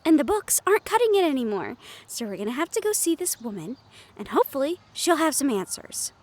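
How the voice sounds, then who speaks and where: High-pitched, exasperated young woman, as played by an actress. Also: very animated, fairly quick, darkish tone. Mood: exasperated, pleading. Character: young woman, anxious, sweary, exasperated medium haunted by a ghost. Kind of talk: audio roleplay acting.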